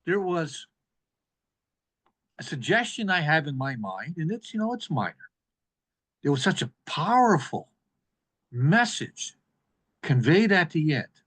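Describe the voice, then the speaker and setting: A man delivering an evaluation speech at a public speaking club in an emphatic, deliberate manner. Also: on the high side, very animated, fairly bright tone.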